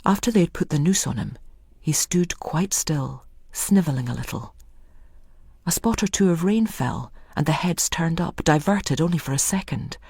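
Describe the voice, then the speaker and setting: Narrator for scripted dramatic fiction: husky tone, mid pitch, very dry sound. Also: expressive, normal speed, a little mellow, no room sound. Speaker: storyteller.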